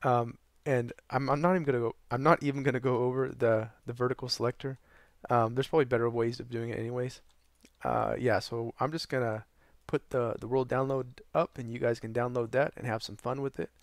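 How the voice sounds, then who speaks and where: Hoarse, tired young man with a gravelly voice. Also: fairly even intonation, brisk, dry recording, clean studio sound. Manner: loose and rambling with many ums and uhs; tired, low energy. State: hoarse, losing his voice. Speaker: gaming channel host. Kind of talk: casual tutorial narration over gameplay.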